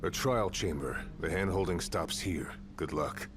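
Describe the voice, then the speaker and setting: An actor playing a weary veteran warrior with a dry, laconic, sardonic manner. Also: male voice, sits low, measured pace. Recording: game audio with music.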